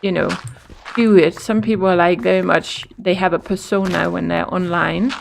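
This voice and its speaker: A woman's voice: fairly low pitch, steady tone, gravelly, slight background noise. A woman with a Danish accent, chatting casually while playing a building game and explaining things as she goes. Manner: chatty, upbeat, quick laughs. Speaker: Minecraft teacher and co-host. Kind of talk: casual gaming chat.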